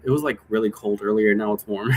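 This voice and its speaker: A man's voice: on the low side, fairly quick, background noise. A man casually explaining his role-play game in a rambling way, often checking for agreement with tag questions. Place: indoor room.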